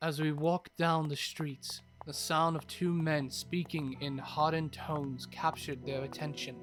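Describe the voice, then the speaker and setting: A man's voice: on the high side, flat delivery, unhurried, fairly bright tone, soft background music. A man reading scripted narration as the storyteller of an audio drama.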